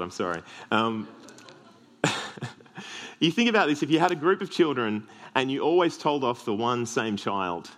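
An Australian man with a deep voice preaching a sermon, earnest with self-deprecating humorous asides. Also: a bit bright.

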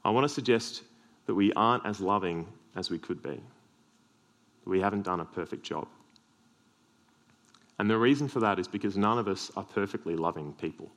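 Australian male preacher, deep low voice, earnest sermon delivery lightened by self-deprecating jokes. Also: normal speed, pauses often, fairly bright tone.

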